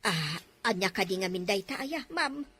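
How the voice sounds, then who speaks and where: A woman's voice: medium pitch, expressive, moderate pace, bright, forceful tone, slightly rough. A woman voicing a timid, loyal middle-aged housemaid who answers her employers with deference in a radio drama. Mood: alarmed. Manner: deferential and flustered, keeps saying sir and ma'am.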